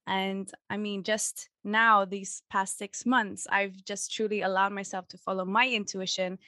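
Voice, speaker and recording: A woman's voice: medium pitch, level tone, a little slow, bright, forceful tone, no echo, clean studio sound. A young woman hosting a spiritual podcast, talking in an effusive, heartfelt, chatty way. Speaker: podcast host. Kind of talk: casual podcast interview.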